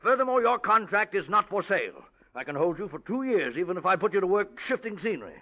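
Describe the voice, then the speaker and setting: Firm, condescending manager, lively inflection, muffled old radio. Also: male voice, sits high, fast. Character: Cecil Wenham, smooth, firm male ballet manager. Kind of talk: 1940s radio acting.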